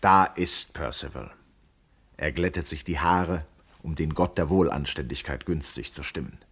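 Admiring, observant man, very deep husky voice, measured literary radio delivery. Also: fairly bright tone, heavily acted. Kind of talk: literary radio drama monologue.

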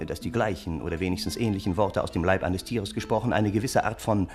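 An actor playing a wry, wordy gentleman who narrates a German radio play in measured, ornate, ironic phrases. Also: low voice, slightly rough. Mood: wry, confiding. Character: wry, wordy storytelling uncle, Russian gentleman, scheming and earnest.

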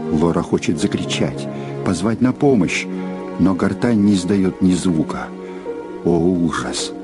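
Deepish guy narrating an audio play, dramatic storyteller read, music going behind him.

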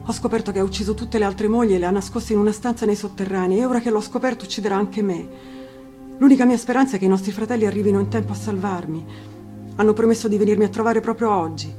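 A terrified young storybook wife, played by a woman reader, begs in a raised, anxious voice. She trembles and pleads for mercy. Music sits clearly behind her. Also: mid-range voice, fairly even intonation, fast talker, warm tone, pitched above the performer's own voice, close to an everyday voice. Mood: anxious, urgent. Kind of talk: dramatic fairy-tale reading. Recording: music under the voice.

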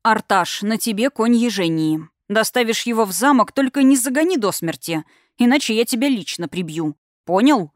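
A woman's voice: on the high side, lively intonation, normal speed, no room sound, studio quality, lightly acted. A woman voicing a stern, protective young male eagle shifter who speaks with reproachful authority in a fantasy audiobook.

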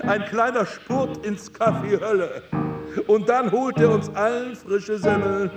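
An actor playing a suave, sinister stage hypnotist in a radio drama, over-courteous, commanding and theatrical. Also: male voice, sits high, unhurried, reverberant, over loud music. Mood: showy, sardonic. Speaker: stage showman.

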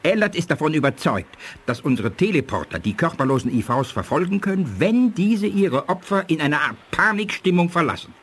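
An actor playing a sceptical, probing ally in a 1980s radio drama, with a husky voice and pointed manner. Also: male voice, a little high, sing-song, brisk, fairly bright tone, exaggerated voice. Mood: sceptical, grave.

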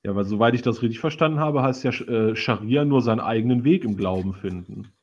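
A man's voice: mid-range voice, quick pace, muffled recording. A man on a casual satirical panel show, talking in a mock-earnest, sarcastic way. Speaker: panelist on a live talk show. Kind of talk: casual satirical group discussion.

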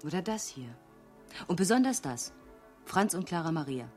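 A woman's voice: on the low side, big pitch swings, moderate pace, darkish tone, soft background music. An actress in a radio crime drama playing a cool, outspoken younger police detective, composed and pointed in how she speaks. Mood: pointed, explaining.

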